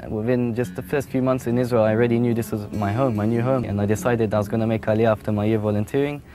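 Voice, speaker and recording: A man's voice: on the low side, fast talker. Young man, easygoing. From London, England. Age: young adult. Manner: relaxed, frequent "uh" fillers. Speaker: paratrooper soldier. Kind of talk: personal interview testimony. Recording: music playing underneath.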